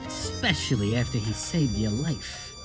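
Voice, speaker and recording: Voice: medium pitch, lively intonation, measured pace, music in the background, close to an everyday voice. An actor playing a shifty, streetwise male bartender with slangy wise-guy talk in a noir audio drama. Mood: indignant.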